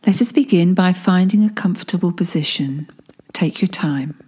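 A woman guiding a meditation in a calm, soothing way, leaving long pauses between phrases. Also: lowish voice, husky. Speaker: guided meditation instructor and life coach. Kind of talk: guided meditation narration. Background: soft healing-frequency sound.

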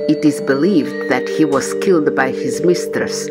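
A woman reading a scripted tour narration in a smooth, even voice-over, with loud music behind her. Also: sits low, normal speed.

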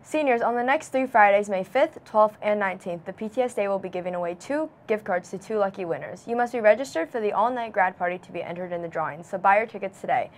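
A woman's voice: mid-range voice, quick pace, hardly any pauses. A teenage girl anchoring a school morning news show, reading announcements in an upbeat, scripted way from a studio desk. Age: teens.

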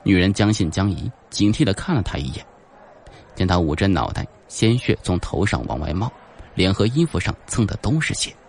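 A man's voice: low-pitched, sing-song, normal speed, darkish tone, a little hiss or hum. A man narrating a suspense audiobook in a dramatic, scripted storytelling style.

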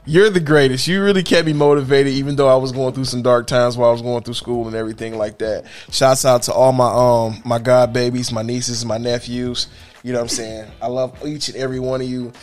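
A man co-hosting a podcast from a home studio, joking loudly and laughing often in crude casual banter. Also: medium pitch, level tone, fairly quick. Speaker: podcast co-host. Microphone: studio mic on desk stand, headphones.

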